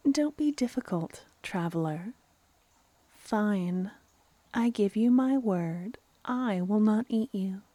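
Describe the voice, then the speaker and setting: A woman voicing a playful, clever female vampire in a soft-spoken ASMR fantasy roleplay, teasingly menacing and often near a whisper. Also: medium pitch, animated, dry recording. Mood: teasing, reassuring. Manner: unhurried, theatrical, teasing, slipping into whispers.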